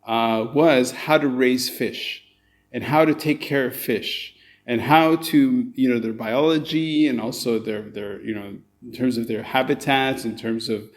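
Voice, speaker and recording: A man's voice: mid-range voice, unhurried. Canadian-raised man hosting, medium voice, upbeat and rambling. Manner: enthusiastic, rambling with fillers. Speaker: podcast host. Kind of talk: casual interview chat.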